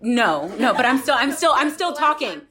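A woman's voice: high voice, big pitch swings, moderate pace, crowd noise. A woman hosting a casual group podcast, speaking in an encouraging, reflective way as she sums up what her guests say. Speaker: podcast host.

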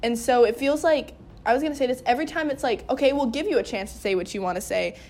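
High-voiced, emphatic teenage young woman. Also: fast, darkish tone. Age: teens, about 18. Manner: emphatic, earnest. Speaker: podcast guest, student cast member. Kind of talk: casual group discussion.

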